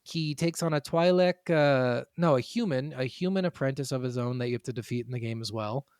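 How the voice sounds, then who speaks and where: A man's voice: medium pitch, fairly quick, a little mellow, clear, resonant tone, no room sound. Casual male podcaster, dry close sound. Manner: casual, swearing, rambling explanations. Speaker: podcast co-host. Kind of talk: casual chat about video games.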